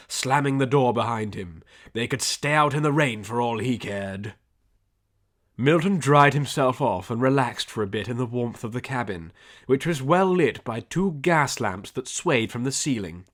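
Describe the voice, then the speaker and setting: Man narrating an adventure audiobook. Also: medium pitch. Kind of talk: scripted dramatic story narration.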